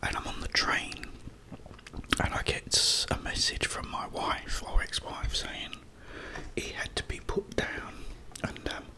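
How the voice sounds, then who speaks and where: A British man in middle age speaks in a breathy whisper throughout. He chats in a confiding, rambling way, as an ASMR creator talking straight to his viewers. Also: slow, poor audio. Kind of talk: whispered ASMR storytelling, casual chat.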